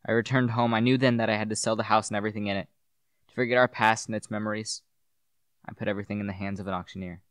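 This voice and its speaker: A man's voice: on the low side, steady tone, racing, fairly bright tone, smooth, no echo, studio quality, natural voice. A young man playing a brooding, grieving widower and devoted husband in a solemn, theatrical radio play. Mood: grieving, haunted. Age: young adult. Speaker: student actor. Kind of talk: radio play acting and monologue.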